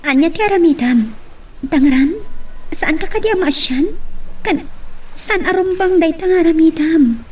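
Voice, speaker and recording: Earnest young woman, high-pitched and distant, acted. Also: unhurried, pauses often, a little mellow. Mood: pleading. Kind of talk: Ilocano audio drama acting. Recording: distant mic, faint background noise.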